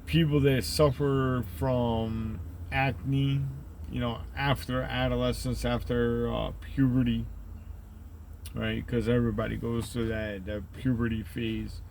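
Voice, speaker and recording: A man with a deep, rumbly voice who rambles through a profane, conspiratorial monologue and keeps tagging his points with "right?". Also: little pitch movement, takes it slowly, creaky, darkish tone, a little hiss or hum. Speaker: channel host.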